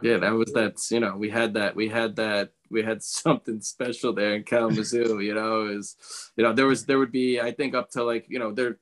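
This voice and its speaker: A man's voice: fairly low pitch, little pitch movement, fairly quick. A man talking casually as a podcast guest, reminiscing in a loose, rambling way. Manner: rambling, frequent "you know". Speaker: podcast guest, former baseball player.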